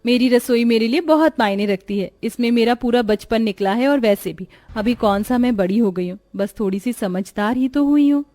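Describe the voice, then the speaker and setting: A woman's voice: fairly high pitch, normal speed, few pauses, dark tone, no echo, natural voice. A woman voicing an earnest, sweet poor village girl in a cartoon story. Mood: happy, earnest. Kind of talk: Hindi cartoon dialogue.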